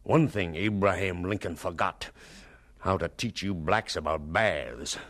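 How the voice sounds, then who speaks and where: An actor playing a bitter, sarcastic schoolteacher in a radio drama, stern and sneering in a theatrical way. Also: male voice, deep voice, unhurried, fairly bright tone. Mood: mocking. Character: bitter, scornful adult male schoolteacher, sarcastic and domineering.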